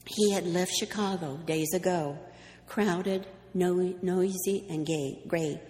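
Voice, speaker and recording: Woman with a low voice in an echoey hall. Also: slow pace, darkish tone. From New Mexico, USA. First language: Greek. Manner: hesitant, with many ums and restarts when answering. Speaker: speaker giving a history talk. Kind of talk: reading a story aloud, then answering audience questions. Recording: echoey hall, faint background noise.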